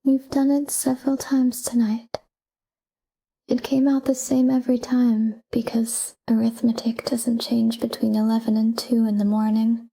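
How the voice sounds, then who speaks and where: A woman leads a sleep talk-down in a very dark, mellow voice. She speaks softly and breathily, close to a whisper, and keeps an even, calm delivery. Her short phrases are unhurried, with space left between them. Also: fairly high pitch, creaky, breathy edge. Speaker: sleep meditation guide. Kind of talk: soft guided sleep meditation, whispered talk-down.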